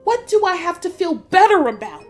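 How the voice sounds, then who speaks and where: A man voicing an angry, grieving young princess in a dark fairy tale, shrieking and imperious. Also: very high-pitched, sing-song, moderate pace, soft background music, pitched above the performer's own voice. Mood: furious, bitter.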